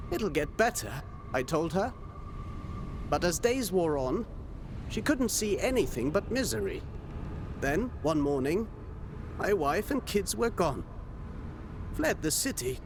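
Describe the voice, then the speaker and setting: An eccentric castaway fisherman in a video game. His high voice swoops and lingers slowly, wistful yet stubbornly hopeful, with music underneath. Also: heavily acted. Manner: singsong, dreamy, rambling. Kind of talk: video game cutscene acting. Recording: music behind the voice.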